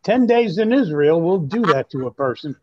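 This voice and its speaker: A young man playing an earnest, self-absorbed grandson of twenty-three in a comic staged reading, eager, halting and placating. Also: a little high, sing-song, dull audio. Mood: enthusiastic.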